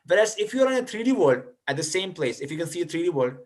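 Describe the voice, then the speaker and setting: A man with a slightly high voice speaks over a video call as a guest lecturer. He explains patiently to listeners, often restarting his sentences. He sounds like a young adult. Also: very animated, quick pace. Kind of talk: lecture and answering audience questions.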